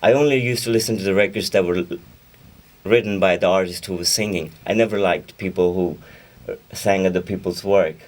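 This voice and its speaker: A man who speaks Urdu as his first language talks through a radio interview in a very low voice, rambling and restarting his sentences. He sits a little back from the microphone. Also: a little fast, far from the mic. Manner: rambling, self-correcting, laughs easily. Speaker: guest singer-songwriter.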